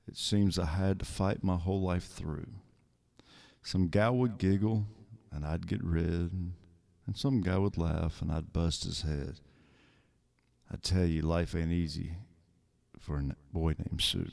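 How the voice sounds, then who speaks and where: A man with a soothing voice, talking softly and slowly as he reads song lyrics for ASMR. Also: sits low, creaky. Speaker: ASMR creator. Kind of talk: soft-spoken ASMR reading of song lyrics.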